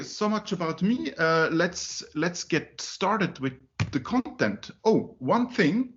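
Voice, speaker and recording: Finnish-born guy who grew up in zurich, gravelly voice, lots of uhs and restarts, kinda dull call audio. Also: medium pitch. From Finland, grew up in Zurich. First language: not English. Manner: halting, many fillers and restarts. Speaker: conference speaker. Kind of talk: presentation at a user group meeting.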